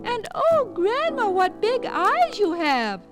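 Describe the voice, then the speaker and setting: A woman voicing a sassy, streetwise little girl who talks in slang with a squeaky high voice, music behind her. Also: wildly swooping pitch, slow pace, heavily acted.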